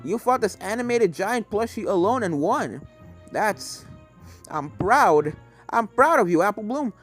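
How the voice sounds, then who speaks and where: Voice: medium pitch, animated, a little slow. Scholarly male storybook professor played by the reader, formal, explanatory, kindly yet serious, faint music behind. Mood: impressed, proud.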